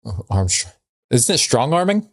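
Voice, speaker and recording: A man's voice: medium pitch, sing-song, moderate pace, no room sound, clean studio sound. A man co-hosting a podcast in casual comedic banter, often questioning and teasing. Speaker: podcast co-host.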